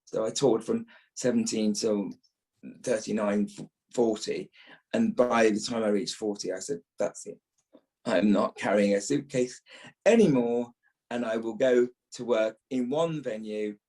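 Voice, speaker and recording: A man's voice: lowish voice, unhurried, a little mellow, rough recording. A middle-aged or older British man, an actor being interviewed, talking reflectively and repeating phrases as he thinks.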